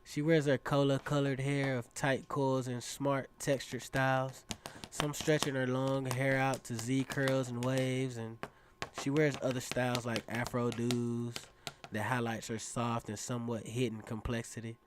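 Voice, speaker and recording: A man's voice: medium pitch, flat delivery, measured pace, noisy background, close to an everyday voice. An actor playing a cocky, dreamy teenage circus acrobat who narrates his own story in a casual, self-assured way for a radio drama. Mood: dreamy, admiring. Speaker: narrator and lead character. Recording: music playing behind the voice. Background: instrumental music.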